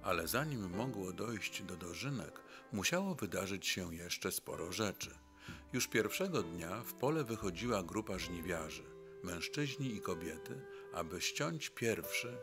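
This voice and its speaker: Male documentary narrator, deep register, formal scripted storytelling, music underneath. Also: expressive, unhurried.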